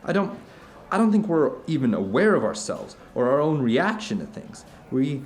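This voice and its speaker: An actor playing an intense, animated friend at dinner, telling stories in an emphatic, rambling, philosophical way in an audio drama. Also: male voice, on the high side. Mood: agitated musing. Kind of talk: conversational audio drama dialogue.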